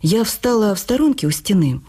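Woman memoirist, radio drama, expressive literary storytelling, animated intonation, dark husky voice. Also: medium pitch, brisk. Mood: reflective storytelling. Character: woman writer warmly recalling her youth.

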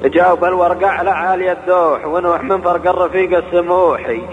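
A man reciting a rhymed poem in a brisk, rhythmic delivery. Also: sits high, steady tone, big-room sound, narrow, tinny audio. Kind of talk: poetry recitation.

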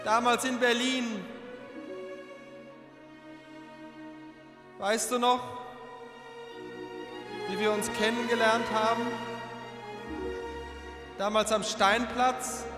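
A man's voice: very high voice, flat delivery, slow, long pauses, echoey room, long reverb tail, over music. An actor playing an emotional, lovelorn man, pleading earnestly with his beloved in a radio play. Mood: wistful.

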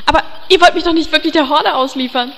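A woman's voice: sits high, quick pace, creaky, a bit bright, big-room sound, slight background noise. A woman playing a vain, flirty, easily indignant elf in a parody fantasy audio drama.

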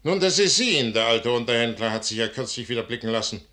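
An actor playing a proud, secretive commander who trusts the stars, declaiming classical verse grandly and deliberately in a 1955 radio drama. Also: male voice, medium pitch, expressive, sharp tone. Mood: brusque. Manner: grand declamatory delivery, deliberate emphatic phrasing. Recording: 1955 radio studio recording.

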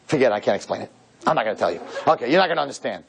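A man voicing an excitable, determined young man in an anecdote, urgent and given to repeating himself. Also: medium pitch, very fast, gravelly, slightly rough, some chatter behind. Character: excitable, obsessive, determined young male wine lover. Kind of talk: acted dialogue in a spoken anecdote.

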